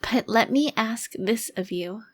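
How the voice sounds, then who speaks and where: Woman playing a slow-spoken, haughty child-bodied ancient vampire. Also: a little high. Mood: grim, defiant. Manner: formal, deliberate, haughty. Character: centuries-old vampire crime lord in a child's body, haughty. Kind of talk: ASMR roleplay monologue.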